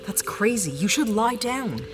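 Blunt, animated woman spacefarer. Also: a little high, measured pace, over music. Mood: concerned. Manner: blunt, incredulous. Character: blunt, skeptical female human spacefarer, questioning and exasperated. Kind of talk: sci-fi audio drama acting.

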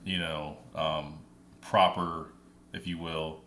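A man's voice: extremely low pitch, little pitch movement, bright, forceful tone, a little hiss or hum. A man co-hosting a casual podcast conversation, talking thoughtfully with frequent fillers and restarts. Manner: thoughtful, halting, many fillers. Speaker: podcast co-host.

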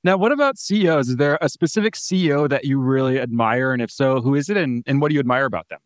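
Fast-talking male podcast host. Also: medium pitch, expressive, no room sound, clean studio sound. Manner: brisk, prepared questions. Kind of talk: interview hosting.

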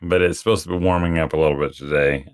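A man's voice: extremely low pitch, fairly even intonation, fast talker, clear, resonant tone, no room sound, studio quality. A man co-hosting a casual podcast, telling stories with frequent laughs and playful quoted voices. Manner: chatty storyteller, laughs often, acts out quoted voices. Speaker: podcast co-host.

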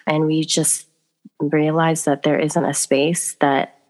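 A woman's voice: sits low, level tone, slow, darkish tone. A woman who grew up in Canada, a guest on a casual podcast, talking over a remote call and laughing often. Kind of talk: casual conversation.

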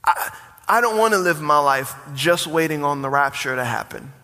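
A forty-year-old man preaching in a high, bright voice, his words building in emphasis and calling out to the congregation. His sermon rings through a church sanctuary with a long hall reverb trailing behind it. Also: animated, moderate pace. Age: 40s. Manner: preaching with rising emphasis and call-and-response. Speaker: pastor.

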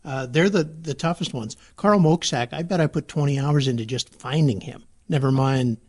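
Male sports reporter guest, measured storyteller. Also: a little high. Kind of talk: casual podcast interview.

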